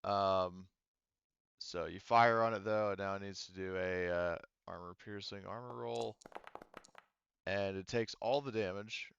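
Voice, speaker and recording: A man acting as game master of a tabletop roleplaying game, explaining rules haltingly as he thinks aloud. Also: low-pitched, fairly even intonation, creaky, fairly bright tone, clean tone, dry recording, muffled recording.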